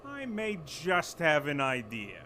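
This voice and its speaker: A man's voice: fairly high pitch, huge pitch swings, slow, sharp tone, a little hiss or hum, heavily acted. A man playing an exasperated, sardonic company executive under pressure in an audio drama, urgent and commanding.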